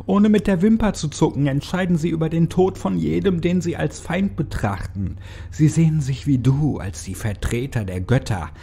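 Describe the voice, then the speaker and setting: Narrator as desperate bitter man, defiant, raised pitch, gravelly edge, impassioned horror-story acting, music behind. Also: lively intonation, normal speed, warm tone, heavily acted. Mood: furious, bitter. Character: despairing, drugged Egyptian man, bitter and defiant.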